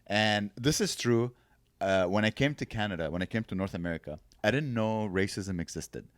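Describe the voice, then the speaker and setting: A man's voice: lowish voice, normal speed, no room sound, clean studio sound. A man from Lebanon, a comedian guest on a podcast, telling stories in casual banter and breaking into mocking impressions. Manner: animated, mocking impressions and bursts of laughter.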